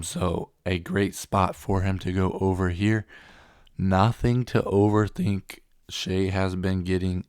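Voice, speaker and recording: A man's voice: low-pitched, darkish tone, smooth, no room sound, studio quality. A young man getting over a cold, casually running through sports betting picks and reading stats off the screen with a few ums.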